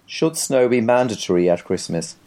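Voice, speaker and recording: A young man co-hosting a podcast in dry, deadpan banter, his voice rough from a cold. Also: lowish voice, moderate pace. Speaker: podcast co-host.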